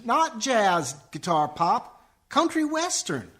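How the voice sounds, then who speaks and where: An actor playing an earnest, aggrieved young musician son in a comic radio play, with melodramatic delivery. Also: male voice, high-pitched, very animated, slow pace, a bit bright, heavily acted. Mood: sheepish confession.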